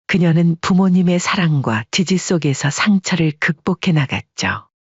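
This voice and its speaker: Measured woman storyteller, husky voice, dry muffled read. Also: fairly low pitch, animated, no echo. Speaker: narrator. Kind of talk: scripted storytelling narration.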